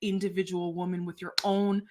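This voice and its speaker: A woman's voice: fairly low pitch, brisk, background noise. A middle-aged woman hosting a casual livestream chat, giving motherly advice to her goddaughter and talking to viewers. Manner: animated, motherly advice, addresses viewers as y'all. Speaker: host and godmother.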